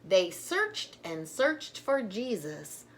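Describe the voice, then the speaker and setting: A woman's voice: medium pitch, sing-song, slow, fairly bright tone, slight background noise. A woman storyteller reading a children's story aloud with simple, emphatic phrasing and informal asides to young listeners.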